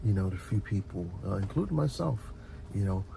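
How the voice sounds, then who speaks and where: Middle-aged man, gravelly low-leaning voice, earnest halting delivery, heartfelt informal advice, steady rumbling noise behind. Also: low-quality recording. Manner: halting, repeats words, earnest and reflective. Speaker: host of a relaxation and advice channel.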